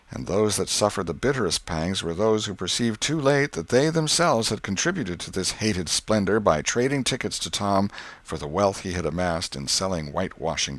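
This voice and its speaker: Male audiobook narrator, low pitch, wry measured storytelling, very dry sound. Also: dry recording. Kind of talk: scripted literary storytelling.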